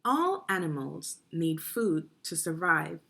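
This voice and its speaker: A woman narrating an instructional children's read-along as a teacher, phrasing deliberately and guiding young listeners through the text. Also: deep voice, very animated, vocal fry, fairly bright tone. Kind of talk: instructional read-aloud of a children's nonfiction book.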